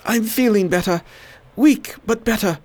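Hamid, a weary plague patient. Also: male voice, high voice, measured pace, a little mellow. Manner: pained, weary. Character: sick weary man recovering from plague. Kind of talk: radio drama acting.

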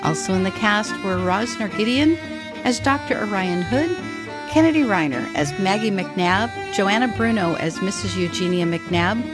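Animated woman radio announcer over music. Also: mid-range voice, normal speed. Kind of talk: scripted radio drama credits. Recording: loud violin music behind.

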